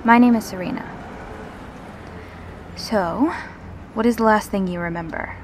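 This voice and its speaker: Girl acting a gentle revenant lady in an audio rp, slow halting earnest talk, background noise. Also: medium pitch, close to an everyday voice. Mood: relieved, friendly. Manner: halting, earnest, soft-spoken pauses. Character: Serena, gentle reassuring undead revenant woman. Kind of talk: intimate audio roleplay acting.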